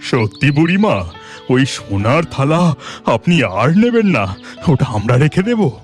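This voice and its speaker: A man's voice: mid-range voice, very animated, measured pace, faint music behind. A man voicing a devout, humble village husband in a cartoon folktale, eager to please. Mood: eager, obliging.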